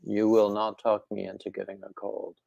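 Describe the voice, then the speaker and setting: A man hosting a casual live chat with his viewers, rambling in a relaxed way and reading their comments aloud, his throat a little congested. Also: on the low side, moderate pace, gravelly, no echo, muffled recording. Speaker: livestream host.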